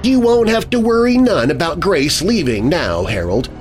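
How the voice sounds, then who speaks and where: Man putting on a sinister, higher-voiced country wife. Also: sing-song, moderate pace, soft background music. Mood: sinister mockery. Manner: falsely soothing, hissing menace. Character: sinister rural wife, soothing, sneering, coldly deranged.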